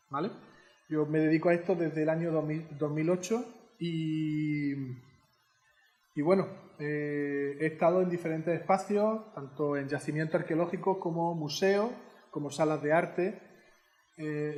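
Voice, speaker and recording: A man's voice: fairly high pitch, a little mellow, echoey room. A man giving an informal lecture as an educator, chatty and teacherly, often asking his audience questions. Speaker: educator giving a heritage talk.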